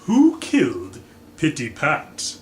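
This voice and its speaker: A man giving a dramatic, deliberate reading of a story as its theatrical narrator. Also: a little high, huge pitch swings. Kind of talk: dramatic audiobook-style narration. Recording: echoey room, faint background noise.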